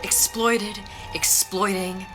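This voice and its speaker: An actress playing a weary, guilt-ridden young woman in a video game, speaking in a haunted, halting, introspective way. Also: medium pitch, fairly even intonation, over music, natural voice, poor audio. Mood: bitter, anguished. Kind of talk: dramatic video game acting.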